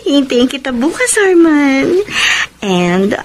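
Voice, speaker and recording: A woman's voice: sits high, sing-song, slow, darkish tone, a little hiss or hum, full character voice, poor audio. A woman acting a sweet, flirtatious co-worker in a Filipino radio drama, coy and teasing. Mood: coy, seductive.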